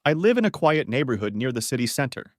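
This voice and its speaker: A man's voice: mid-range voice, sing-song, no room sound, studio quality. Man reading drill sentences twice each. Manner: says each phrase twice, clearly and deliberately. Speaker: English teacher. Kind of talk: reading model sentences for learners to repeat.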